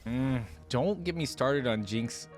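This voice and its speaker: A man's voice: mid-range voice, lively intonation, takes it slowly, soft background music. A man hosting a reaction video, giving casual, informal commentary close to the microphone. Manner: chatty, informal.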